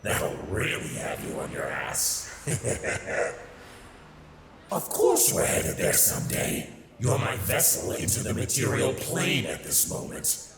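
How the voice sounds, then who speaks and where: A man's voice: lowish voice, wildly swooping pitch, slow pace, vocal fry, a crowd in the background, full character voice. A man playing a sly, hedonistic demon in an ASMR roleplay, theatrical and sardonic, mocking as he mentors. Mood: gleeful, grandiose. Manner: theatrical, sardonic, grandiose wording. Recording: echoey reverberant sound, loud background ambience.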